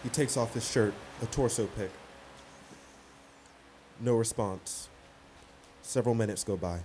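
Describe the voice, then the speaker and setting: A man narrating stage directions for a radio play in measured, declarative sentences. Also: fairly low pitch, mellow, natural voice. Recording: some background noise.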